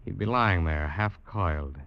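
An actor playing a determined but uneasy American snake hunter, narrating his expedition with dramatic, deliberate delivery in an old radio drama. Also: low voice, gravelly, no echo. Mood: brooding narration. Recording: muffled old radio recording with music.

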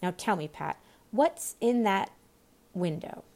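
A woman's voice: medium pitch, expressive, takes it slowly, vocal fry, darkish tone. A woman voicing a bossy, angry rabbit master in a storybook, with an imperious, scolding, exasperated manner. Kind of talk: storybook character voice.

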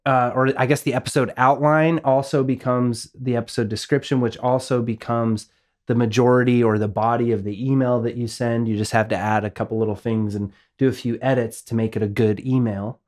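A man's voice: mid-range voice, flat delivery, fairly quick, a little mellow. A man co-hosting a casual podcast, upbeat and enthusiastic as he explains ideas. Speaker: podcast co-host, audio and video producer.

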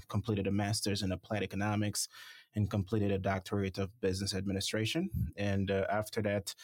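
A man from Haiti, a startup founder, answering interview questions in a measured way with many fillers. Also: fairly low pitch, dry recording, studio quality. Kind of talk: conversational interview.

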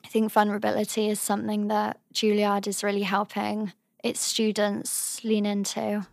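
A young woman from England with a high voice, giving thoughtful, earnest interview answers as a drama student. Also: completely flat delivery, moderate pace, creaky, no echo, clean studio sound. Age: young adult. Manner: thoughtful, earnest, softly trailing phrases.

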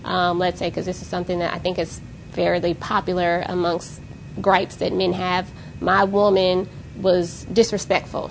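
A woman's voice: on the low side, creaky, a little hiss or hum, low-quality recording. A woman co-hosting a podcast, talking about relationships in an emphatic way and building up to pointed exclamations. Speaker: co-host. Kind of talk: casual podcast discussion.

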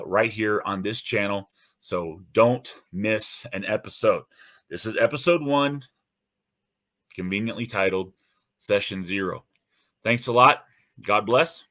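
A man hosting his own podcast in a casual, earnest and encouraging monologue, often restarting his phrases. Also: sharp tone. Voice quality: deep radio-style voice. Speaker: host.

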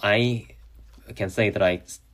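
Young male podcast host, Chinese native speaker, very deep voice, halting delivery with frequent restarts. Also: steady tone, fairly bright tone. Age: young adult. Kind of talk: solo podcast monologue.

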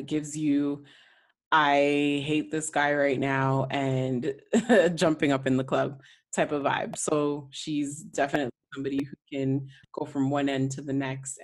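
A woman hosting a podcast, speaking in a relaxed, slangy, conversational way. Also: a bass voice. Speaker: podcast host.

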